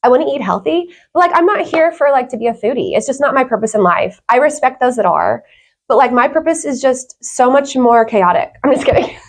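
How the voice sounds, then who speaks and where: A woman's voice: sits high, animated, a little fast. A woman talking casually as an interview guest, earnest and emphatic in how she makes her points. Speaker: podcast guest.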